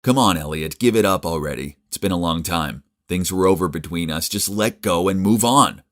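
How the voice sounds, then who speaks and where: A man's voice: sits low, measured pace, a bit bright. A man voicing a blunt, angry young man telling his ex to move on. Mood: fed up. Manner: blunt, fed up.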